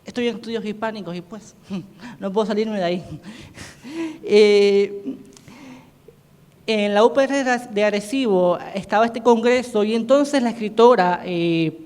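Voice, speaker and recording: A man whose first language is Portuguese gives an academic conference presentation as a panelist, talking haltingly with many restarts and self-corrections. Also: high-pitched, a little mellow, clear, resonant tone. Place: conference hall. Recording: reverberant hall sound.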